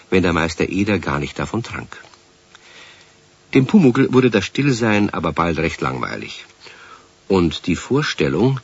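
A man narrating a children's radio play as a leisurely storyteller, in a deep, husky voice. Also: heavily acted. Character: calm, leisurely male storyteller. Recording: muffled old 1970s radio recording.

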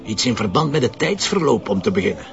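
Animated, gravelly Flemish police commissioner. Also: male voice, mid-range voice, fast. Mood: pondering, serious. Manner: colloquial, bantering, emphatic. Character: jovial, teasing, stubborn Flemish police commissioner. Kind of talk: naturalistic radio drama dialogue. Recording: dull lo-fi sound with music behind.

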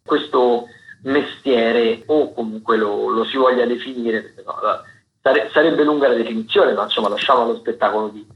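A man presents listings on the radio in an informal, wandering style. His voice sits at an average pitch, and he stumbles, backtracks and corrects himself as he goes. The sound is a little dull, with clear room echo around the voice. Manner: halting, digressive, self-correcting. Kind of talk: informal spoken radio listings of upcoming shows. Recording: roomy, slightly dull radio broadcast sound.

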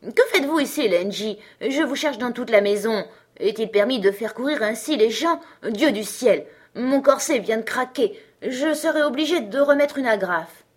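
A woman's voice: a little high, expressive, moderate pace, slightly rough, voice pushed up. A woman voicing a fussy, grumbling housemaid who scolds and exclaims, in a storybook reading. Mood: flustered, scolding.

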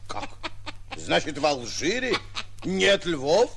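An actor playing a naive, excitable, pompous would-be hunter, stammering and exclaiming in a 1940s theatrical radio comedy. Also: male voice, on the high side, slow, vocal fry, a little hiss or hum, heavily acted. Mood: eager, puzzled.

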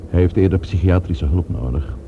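Deep, gravelly police chief. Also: male voice, normal speed, narrow, tinny audio. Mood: businesslike. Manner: businesslike, dry. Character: brisk, pragmatic male police chief. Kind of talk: radio drama acting. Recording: noisy old radio play recording.

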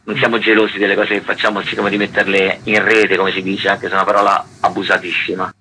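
A man's voice: sits low, little pitch movement, quick pace, sharp tone, a little hiss or hum, poor audio. A man speaking conversationally as a theatre festival co-director in a radio interview.

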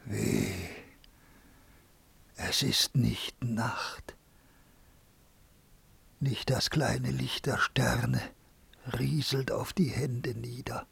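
Frail, frightened blind old man, radio drama, very slow, croaking and pleading. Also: mid-range voice, fairly even intonation, a bit bright, heavily acted. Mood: bewildered, weary. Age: older. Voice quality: husky, gravelly, breathy whispering. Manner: halting, drawn-out, pleading. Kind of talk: poetic radio drama acting.

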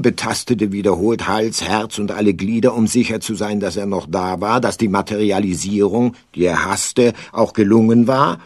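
A man narrating a comic science-fiction radio play in a measured, dryly ironic, literary manner. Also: fairly low pitch, a little mellow, no echo, clean studio sound.

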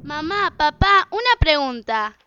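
An outspoken schoolgirl acted by a woman in a family radio play, always questioning. The voice is high, on a dry, slightly muffled recording. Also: very animated, a little slow, sharp tone, no room sound, exaggerated voice. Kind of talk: amateur radio drama acting.

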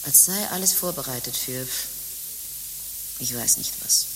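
An actress playing a bitter, world-weary former harlot turned nun in a radio play, with theatrical speech both ornate and coarse. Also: female voice, very deep voice, lively intonation, unhurried, heavily acted. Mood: musing. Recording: hissy recording with long reverb.

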